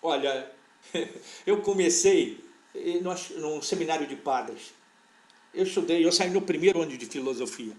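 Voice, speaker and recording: An older man, a veterinary professor, telling stories from his life in an unhurried, rambling way with frequent restarts. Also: on the high side. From born in Portugal, raised in Brazil. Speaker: veterinary parasitology professor, interview guest. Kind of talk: relaxed conversational storytelling.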